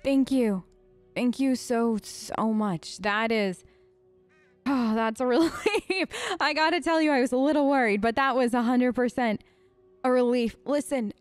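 A woman's voice: a little high, unhurried, smooth, no echo, faint music behind. A woman doing casual, earnest role-play commentary as a let's play host. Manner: informal, earnest, empathetic.

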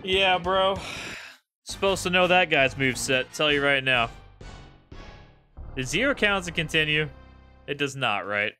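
A man's voice: on the high side, lively intonation, unhurried, long pauses, sharp tone, faint music behind. A young man streaming a retro video game, commenting casually, with frustrated swearing. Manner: frustrated, swearing, laid-back. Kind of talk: casual live gameplay commentary.

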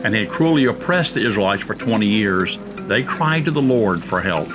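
A man's voice: lowish voice, lively intonation, moderate pace, over music, poor audio. A man giving scripted storytelling narration for a biblical drama.

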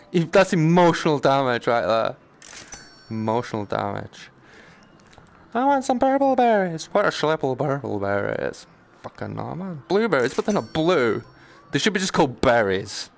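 A man's voice: fairly high pitch, sing-song, measured pace. A man chatting casually on a live game stream, joking in a slangy, sweary way. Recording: clear background noise.

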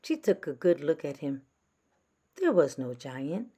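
A woman narrating a children's storybook aloud in a measured, gentle manner. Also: deep voice, sing-song, husky. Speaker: storybook reader.

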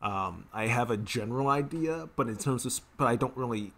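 A man's voice: mid-range voice, animated, a little fast, fairly bright tone. A man in his twenties giving casual reaction commentary as a video host, rambling and often circling back to rephrase. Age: 20s. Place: small indoor room at home.

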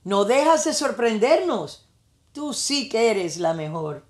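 A woman's voice: on the high side, sing-song, slow pace, a little mellow, pitched above the performer's own voice. A woman voicing happy birds in a storybook, exclaiming with fervent admiration. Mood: excited praise.

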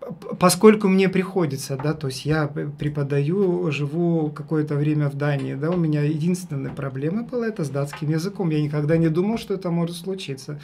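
A man with a high tenor voice, talking casually as an interview guest, haltingly and repeating his phrases for emphasis. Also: gravelly, warm tone, slight background noise. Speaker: guest, singer and vocal teacher. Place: small radio studio. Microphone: studio condenser microphone.

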